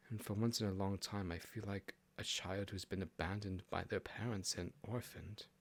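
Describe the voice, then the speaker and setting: A man's voice: fairly low pitch, close to an everyday voice. A man voicing a proud, huffy fox queen in an audiobook, with a formal, haughty way of talking. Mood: wistful, lonely.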